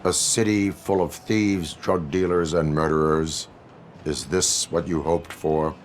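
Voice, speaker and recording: An actor voicing a stoic, righteous cyborg police officer in a video game, terse, measured and deadpan. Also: male voice, rumbling low, slight background noise. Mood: grim. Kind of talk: dramatic video game cutscene acting.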